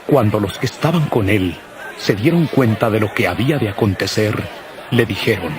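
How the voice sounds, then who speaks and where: A man narrating scripture from a script in a solemn, measured manner. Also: on the low side, a crowd in the background, noisy background. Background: music.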